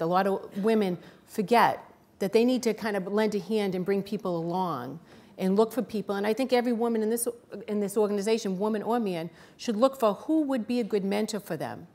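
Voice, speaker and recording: A woman's voice: medium pitch, a little mellow. A middle-aged woman with a thick Boston accent, speaking plainly and candidly as a panelist. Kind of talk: panel talk.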